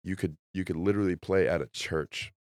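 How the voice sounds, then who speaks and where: A man's voice: sits low, fairly even intonation, brisk, no room sound. A congested man, a comedian guest, rambling through jokey stories in a casual podcast chat.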